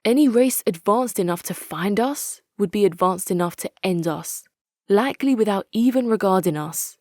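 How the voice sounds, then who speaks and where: A woman's voice: medium pitch, expressive, normal speed, a little mellow, no echo, close to an everyday voice. A woman playing a wry British military commander, dry sound. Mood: sober. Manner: wry, plain-spoken. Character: frank, sceptical female military commander, wry realist. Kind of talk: dramatic scripted game character monologue.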